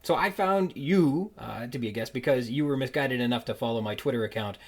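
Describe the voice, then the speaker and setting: A man with an average-pitched voice hosts a casual interview podcast. He talks loosely and searches for his phrasing as he puts questions to his guest. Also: a little fast.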